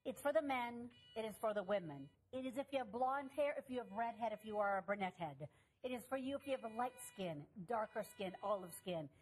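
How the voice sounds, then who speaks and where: Woman host, husky voice, rapid salesy patter. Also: on the high side, lively intonation, rough recording. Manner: fast, enthusiastic selling patter. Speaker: shopping channel host. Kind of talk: shopping channel sales pitch.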